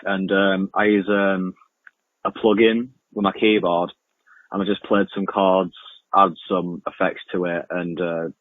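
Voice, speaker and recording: A man's voice: low-pitched, little pitch movement, a little slow. A young man answering casually as a radio interview guest, hesitant and informal, often repeating himself. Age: young adult. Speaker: interview guest, amateur music producer and podcaster. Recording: muffled lo-fi, roomy echoing remote link.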